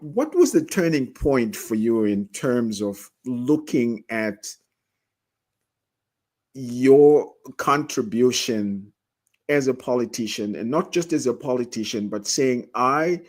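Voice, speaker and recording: A male talk show host asking a long question slowly and deliberately, with stammering repeats. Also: mid-range voice, darkish tone. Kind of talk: interview questions.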